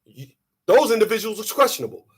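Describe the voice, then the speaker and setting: High bright husky-voiced man in his thirties. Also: fast talker. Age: 30s. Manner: animated, preachy, mocking quoted voices. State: sweaty after a run. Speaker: channel host. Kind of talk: casual monologue to camera.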